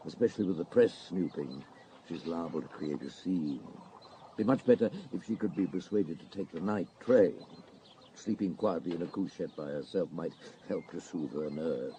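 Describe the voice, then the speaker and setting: A man acting a middle-aged, thoughtful French police superintendent in a radio drama, terse and deliberate, with musing hums. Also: low voice, expressive, mellow, a little hiss or hum, low-quality recording. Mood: measured, discreet.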